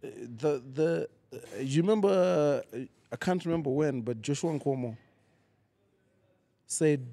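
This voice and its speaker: A man talks as a comedian guest on a podcast. His voice is mellow and sits a little high for a man. He sounds dry and close, and he argues his points in an opinionated, animated way. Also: a little slow, dry recording. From Zimbabwe. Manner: opinionated, animated storytelling with mock quotes. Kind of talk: casual conversational interview. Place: lounge venue.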